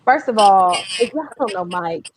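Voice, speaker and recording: A woman hosting a casual interview chat, telling things in an animated, emphatic way. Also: mid-range voice, normal speed, crowd noise. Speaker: host.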